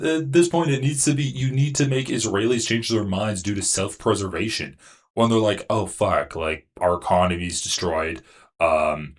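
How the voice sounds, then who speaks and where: A man hosting a political livestream, talking in a profane, sarcastic, casual way. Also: low-pitched. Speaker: livestream host.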